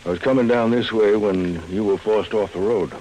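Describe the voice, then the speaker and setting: A man acting an older, calm, plain-spoken ranch caretaker in an American 1940s radio drama, folksy and measured. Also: lowish voice, close to an everyday voice, rough recording. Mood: calm, concerned. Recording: old radio recording, faint noise.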